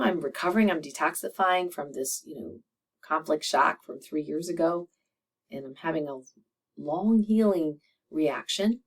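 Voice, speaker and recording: A middle-aged woman with a slightly raspy voice, a doctor chatting to camera, laughing often and using many fillers. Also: fairly low pitch, recorded from a distance. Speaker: medical doctor and video host. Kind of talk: casual monologue to camera.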